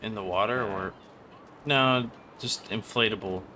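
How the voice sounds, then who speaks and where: A man's voice: on the low side, measured pace, sharp tone, a little hiss or hum. A man streaming a video game with casual, slangy, irreverent commentary. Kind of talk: casual live game commentary, crude joking. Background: game music and sounds.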